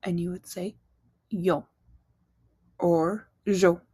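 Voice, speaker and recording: A woman's voice: on the low side, fairly even intonation, very slow, mellow, slightly rough, dry recording, studio quality. Chatty woman teaching Spanish. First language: English. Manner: chatty, uses fillers, quiz-style prompts. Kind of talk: casual online language lesson.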